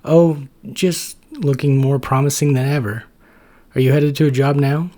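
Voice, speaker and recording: A man's voice: mid-range voice, steady tone, normal speed, mellow, voice pushed up, lightly acted. A man voicing a tired, exasperated male helper T cell who keeps explaining things to his roommate. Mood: friendly.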